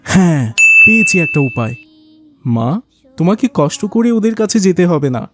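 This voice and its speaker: Man acting a show-off rich cartoon businessman, high-pitched, bossy, condescending. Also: big pitch swings, moderate pace, loud music behind the voice. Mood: fretful, coaxing. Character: pompous, show-off rich businessman and indulgent father.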